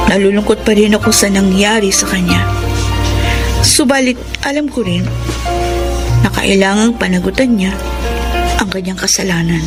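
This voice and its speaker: An actress playing an earnest, grieving young niece in an emotional, pleading radio drama style. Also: female voice, medium pitch, close to an everyday voice. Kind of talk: radio drama acting and first-person narration. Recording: music bed under the voice.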